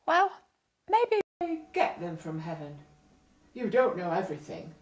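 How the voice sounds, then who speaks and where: A woman voicing an eager, naive and excitable younger brother in a children's story. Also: mid-range voice, a little slow. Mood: hopeful, excited. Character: eager, wide-eyed younger brother, small boy.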